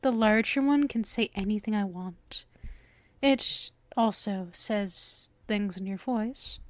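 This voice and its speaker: A woman's voice: medium pitch, slow pace, no echo, lightly acted. A woman playing a sweet, clingy, obsessive witch in an ASMR roleplay, cooing coyly to the listener and slipping into whispers. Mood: cheerful, darkly playful. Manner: coy, cooing, breaks into whispers. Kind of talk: ASMR roleplay acting, intimate address to listener.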